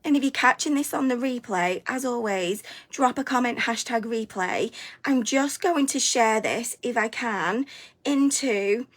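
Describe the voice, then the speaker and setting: A woman, hosting a live stream, a little hoarse and congested from a cold, chatty and upbeat, sometimes stumbling over her words. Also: a little high, a little slow. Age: 40s. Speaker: host of a weekly live stream. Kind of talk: live stream chat to viewers.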